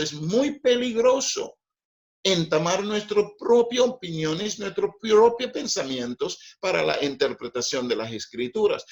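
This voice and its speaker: A man's voice: on the high side, creaky. A middle-aged man, a native English speaker, preaching a Bible study in a careful, teaching manner with frequent checks of "ok?". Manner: slow, deliberate teaching with frequent "ok?" and "pues".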